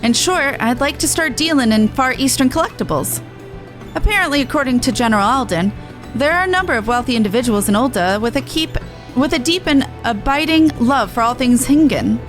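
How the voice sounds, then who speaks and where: A woman's voice: high-pitched, expressive, fairly quick. A woman game streamer reading dialogue aloud with chatty reactions, stumbling and correcting herself as she goes. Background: video game music.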